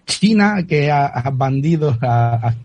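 A man's voice: medium pitch, lively intonation, slow, a little mellow, slightly rough, no echo. A man from Italy with an Italian accent, a guest on a radio interview who often repeats words while searching for the right term.

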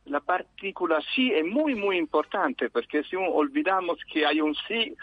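A man's voice: mid-range voice, no echo. Italian man on a tinny phone line. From Italy. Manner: halting, many fillers and restarts, mixes in Italian words. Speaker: guest researcher. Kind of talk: phone interview.

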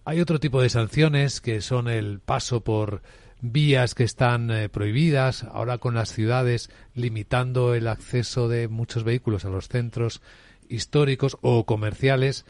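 A man's voice: medium pitch, steady tone, moderate pace, a little mellow, dry recording. A man hosting a radio business interview, explaining points and ending them with "¿no?". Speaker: radio host.

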